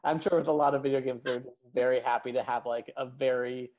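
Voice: medium pitch, steady tone, fast talker, a little mellow, lo-fi sound. An interviewer asking questions in casual chat, praising the guest with many fillers.

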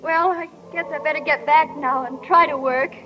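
Woman acting a high-voiced, earnest small-town girl. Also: fairly even intonation, moderate pace, dull audio, slight background noise, close to an everyday voice. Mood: dreamy, hushed. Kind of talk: 1940s radio drama acting. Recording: 1940 radio broadcast with music behind.

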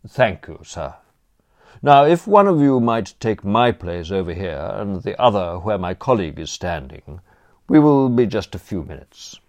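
A man's voice: fairly low pitch, very animated, measured pace, a little mellow, no echo. Valet, male reader: unflappable, deferential, formally precise audiobook character, dry sound. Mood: polite, projecting.

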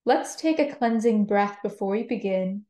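A woman leading a guided meditation with gentle, soothing instructions. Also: mid-range voice, expressive, moderate pace. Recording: roomy, echoing sound.

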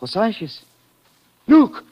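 A man's voice: high-pitched, very animated, slow, lo-fi sound, slight background noise, full character voice. An actor playing an anxious, urgent Portuguese fisherman in a 1940s radio drama. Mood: alarmed, urgent.

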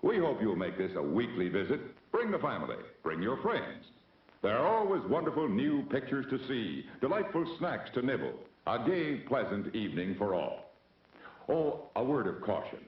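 A man's voice: medium pitch, sing-song, normal speed. Echoey vintage American male announcer. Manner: genial, showy salesmanship. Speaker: drive-in theater announcer. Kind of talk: vintage mid-century promotional announcement. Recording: old, dull, echoing, distant announcement recording.

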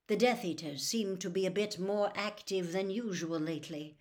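A woman voicing a troubled adult male wizard who gives earnest, hurried warnings in a storybook reading. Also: medium pitch, pitched above the performer's own voice, natural voice. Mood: urgent.

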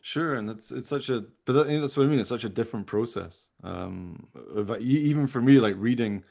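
A man's voice: medium pitch, brisk, vocal fry, a little mellow. A man in casual, informal conversation, talking in a rambling way as a podcast host. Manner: rambling, informal, often hedging with "you know". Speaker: podcast host, radio play writer. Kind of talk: casual chat.

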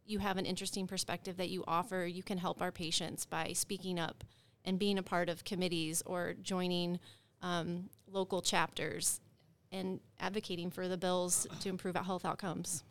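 A woman nurse speaks as a guest on a podcast. Her voice is somewhat low and clear, with little rise and fall. She sounds measured and thoughtful. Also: level tone. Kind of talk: conversational podcast interview.

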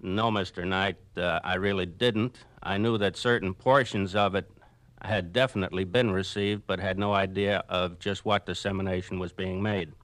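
A man's voice: low voice, steady tone, moderate pace, a bit bright, no room sound. A man from Brooklyn answering as a guest in a 1940s radio interview, in formal, precise, prepared-sounding sentences. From Brooklyn, New York. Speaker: interview guest, former prisoner of war.

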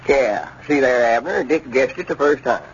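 An actor playing a folksy, self-satisfied older country schemer with a drawling rural Southern accent in a 1930s radio comedy. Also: male voice, mid-range voice, lively intonation, moderate pace, natural voice. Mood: folksy, pleased. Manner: drawling, rustic. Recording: muffled, hissy 1930s radio recording.

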